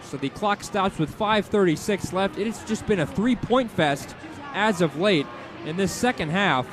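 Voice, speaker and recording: An American man doing animated, excitable live radio play-by-play of a basketball game from an arena. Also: high voice, moderate pace. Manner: animated, excitable sportscaster delivery. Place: indoor basketball arena broadcast position. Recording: loud arena crowd noise behind voice.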